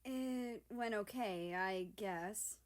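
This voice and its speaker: A woman's voice: medium pitch, extremely slow. Woman voicing a smirking, teasing young guy. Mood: reluctant. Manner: slangy, teasing. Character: smirking, teasing young male country, casual and dry.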